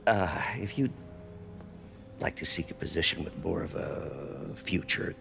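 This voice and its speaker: Deep gravelly ailing scientist. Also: male voice, a little slow, a bit bright, big-room sound, slight background noise, full character voice. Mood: grave, confiding. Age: middle-aged. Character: ailing middle-aged male scientist, grave and confiding. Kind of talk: acted video game dialogue.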